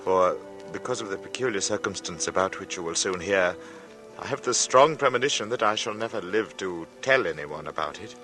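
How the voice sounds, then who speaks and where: An actor in a 1940s radio drama playing an uneasy thirty-five-year-old artist, telling his strange story slowly and deliberately. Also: low-pitched, expressive. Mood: measured, foreboding. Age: 30s. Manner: slow, halting, deliberate, dramatic. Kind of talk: 1940s radio drama acting, first-person narration. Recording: vintage radio broadcast with music. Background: dramatic music.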